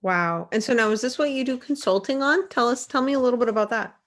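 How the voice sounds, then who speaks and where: A woman's voice: a little high, fast talker. A woman hosting a podcast, interviewing a guest and reacting with short, encouraging replies. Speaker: podcast host. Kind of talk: casual interview.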